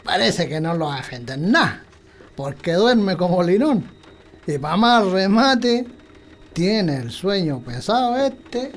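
A man's voice: sits high, very animated, slow pace, a little hiss or hum, exaggerated voice. A man acting a stubborn, skeptical rural husband in a radio drama, with colloquial Chilean speech. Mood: relaxed, homely. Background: prominent music.

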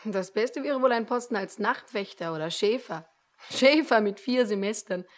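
A woman's voice: mid-range voice, normal speed, natural voice. A mocking young woman in a radio drama, acted by a woman. She has a mid-range voice and a sarcastic, exasperated way of needling. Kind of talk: German radio play acting.

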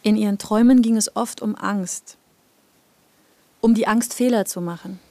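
A woman narrating a personal audio piece in an intimate, reflective, measured manner, from a script. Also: medium pitch, steady tone, warm tone, dry recording.

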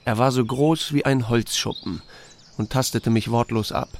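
Actor as laconic small-town sheriff. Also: male voice, mid-range voice, steady tone, moderate pace, slight background noise, close to an everyday voice. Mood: dry narrating. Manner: dry, understated. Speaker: narrator and lead character. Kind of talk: radio drama dialogue and narration.

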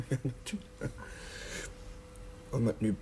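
An actor playing a convalescent young village chaplain in a television drama, frail and hesitant, with dry wit. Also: male voice, medium pitch, very animated, slow, mellow, a little hiss or hum. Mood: hungry, hesitant. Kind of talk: naturalistic television drama acting.

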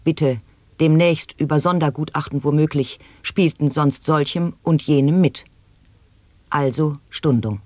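An intent reciter of absurd word games voiced by an actor for avant-garde radio drama. The mid-pitched voice declaims rhythmically and precisely. The sound is very dry and close. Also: measured pace, darkish tone, no echo, natural voice. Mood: rhythmic, precise.

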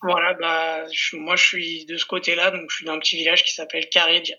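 A man's voice: on the high side, completely flat delivery, fairly quick, bright, forceful tone, rough recording. A man from Corsica, a football coach, explaining things conversationally in a didactic way as an interview guest. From Corsica, France.

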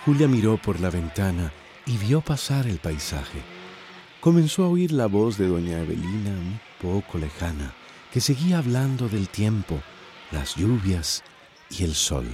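A man's voice: on the low side, big pitch swings, a little slow, dark tone, soft background music. A man with a Costa Rican accent narrating a radio drama in a scripted storytelling style.

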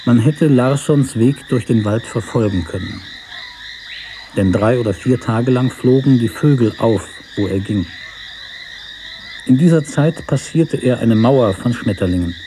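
A man reading a literary story as narrator in a measured, sober manner. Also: medium pitch, mellow, slight background noise.

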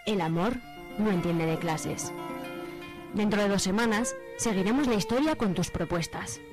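A woman with a Spanish accent giving scripted narration as the narrator of a radio soap. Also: mid-range voice.

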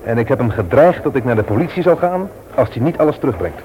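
Radio drama: man acts indignant, no-nonsense brother-in-law, animated intonation, heard through heavy recording noise. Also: medium pitch, fast, narrow, tinny audio, natural voice. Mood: grave, indignant. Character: stern, indignant brother-in-law who won't be fooled. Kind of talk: Dutch radio play acting. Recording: very noisy old radio play recording.

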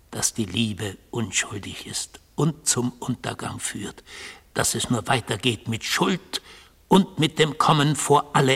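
Husky, gravelly fanatical god. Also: male voice, mid-range voice, exaggerated voice. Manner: solemn, insistent, declamatory, lapsing into hushed intensity. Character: mystical Good God on trial, fanatical defender of order. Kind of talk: German radio drama acting. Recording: long hall-like reverb.

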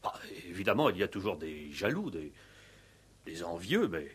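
Bewildered pompous mayor, husky and animated. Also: male voice, deep voice, moderate pace, exaggerated voice. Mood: hesitant. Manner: hesitant, effusive, self-correcting. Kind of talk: 1960s French radio play acting. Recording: old 1960s radio play recording.

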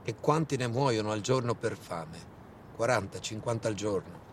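A man's voice: on the low side, animated, moderate pace, a little hiss or hum, natural voice. A man performing a dramatic war monologue as a theatrical, bitterly ironic storyteller. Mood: pleading. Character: bitter, sardonic male storyteller of war captivity.

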